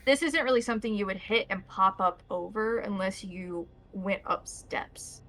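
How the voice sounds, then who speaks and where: A woman's voice: mid-range voice, moderate pace, over a noisy crowd. A woman acting as game master, explaining and describing scenes in detail for a tabletop role-playing game.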